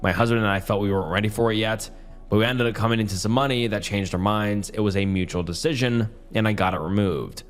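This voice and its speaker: A man reading Reddit posts aloud as a YouTube story narrator, his voice strained and partly going hoarse, in a casual, chatty delivery. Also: lowish voice, fast, soft background music.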